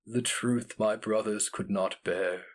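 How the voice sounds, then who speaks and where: A man voicing an ancient, mad, inhuman immortal in a dramatic fantasy reading, speaking gravely and portentously. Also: fairly low pitch, fairly even intonation, slow. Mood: grave, ominous.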